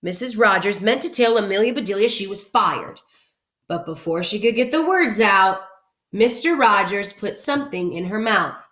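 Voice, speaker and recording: A woman reading a children's story aloud like a teacher, animated and clearly phrased for young listeners. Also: mid-range voice, moderate pace. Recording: roomy indoor echo.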